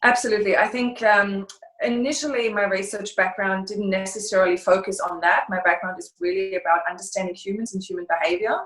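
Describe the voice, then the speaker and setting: A woman's voice: medium pitch, fairly even intonation, brisk, fairly bright tone. German-accented woman in an echoey room. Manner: thoughtful, searching for words, frequent hesitations. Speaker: guest, consultancy founder. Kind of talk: interview answers. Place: home room by a fireplace.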